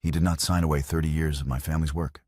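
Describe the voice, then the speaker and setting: A man voicing a proud, calm young woman horse trainer who speaks with quiet, firm defiance in an audiobook romance. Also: extremely low pitch, fast, husky, dry recording, studio quality.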